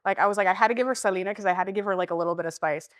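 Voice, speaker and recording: Animated woman telling stories. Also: rapid-fire, no room sound. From Southeast US. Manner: animated storyteller, acts out quotes with big pitch jumps. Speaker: guest actor. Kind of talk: casual interview chat.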